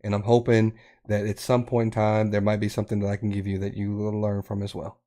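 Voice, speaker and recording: A man's voice: lowish voice, completely flat delivery, fast talker, mellow. Stammering male podcast host. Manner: rambling, stammering restarts, self-mocking. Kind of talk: casual group chat.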